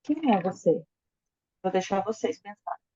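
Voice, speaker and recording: A woman interprets a lecture sentence by sentence, filling gaps with hesitations and quick restarts. Her voice is dark and mellow, and the recording sounds somewhat dull and muffled. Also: medium pitch, expressive, slightly rough. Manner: hesitant, with fillers and self-corrections. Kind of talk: consecutive interpreting into Portuguese.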